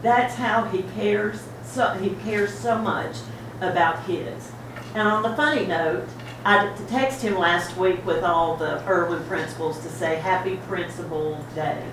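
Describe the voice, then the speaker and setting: A woman's voice: fairly low pitch, measured pace. A woman on the school board giving a chatty, laughing tribute speech at an awards event. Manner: warm, chatty, laughing storyteller. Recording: echoey hall from far off, noisy.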